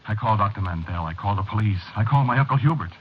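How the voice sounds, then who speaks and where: An actor playing a frightened, unraveling man in an old-time radio horror drama, halting and pleading, as if haunted. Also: fairly low pitch, animated, close to an everyday voice. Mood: haunted, weary. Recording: noisy, muffled old radio drama recording.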